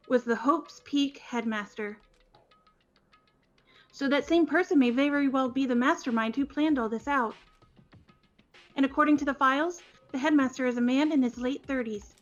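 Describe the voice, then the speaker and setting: A woman voicing a serious young character who reasons deliberately through a mystery in a game, on a slightly dull home recording. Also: sits high, natural voice. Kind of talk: fan voice acting of a visual novel game.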